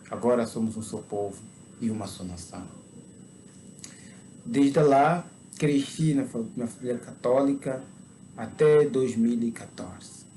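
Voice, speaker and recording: A man in his twenties with an Angolan Portuguese accent, giving a conversion testimony as a sermon and speaking slowly and deliberately, at times with emphatic preaching. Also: medium pitch, warm tone, slight background noise, poor audio. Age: 20s.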